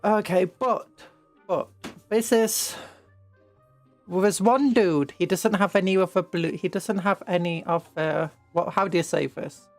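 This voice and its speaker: Young British woman, croaky voice, rambling casual streamer chat, faint music underneath. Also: fairly low pitch, a little slow. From Britain. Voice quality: croaky from hay fever. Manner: rambling and playful, with restarts and sudden squeals. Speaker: streamer painting miniatures.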